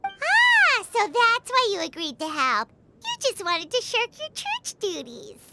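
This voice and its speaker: A woman voicing a tiny, excitable childlike fairy companion in a game, with a squeaky voice and chirpy, expressive manner. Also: measured pace, noisy background, full character voice. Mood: teasing. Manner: chirpy, expressive, speaks of herself in third person. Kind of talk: English game dub voice acting. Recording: loud background music.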